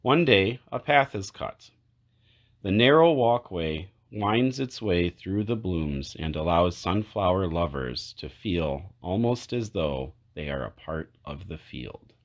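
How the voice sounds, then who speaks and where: A man narrating a children's picture book aloud, phrase by phrase in a measured way with clear pauses. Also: low voice, expressive. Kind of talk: read-aloud storybook narration.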